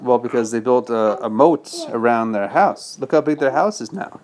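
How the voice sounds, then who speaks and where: A man's voice: mid-range voice, normal speed, a little mellow. A man reading a bedtime story as a father to his child, patiently explaining in simple words with check-in questions.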